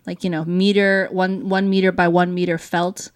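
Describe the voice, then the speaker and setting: A woman's voice: lowish voice, flat delivery, normal speed, smooth, dry recording, clean studio sound. A woman from the United States, co-hosting a casual explanatory chat in an agreeable way. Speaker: co-host.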